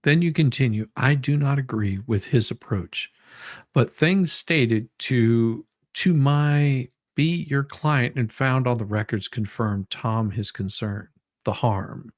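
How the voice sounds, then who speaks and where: Man, mid-pitched, halting and repetitive co-host, dry recording. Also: no echo. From Southern United States. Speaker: online show co-host reading a deposition. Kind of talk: casual commentary and dramatic reading.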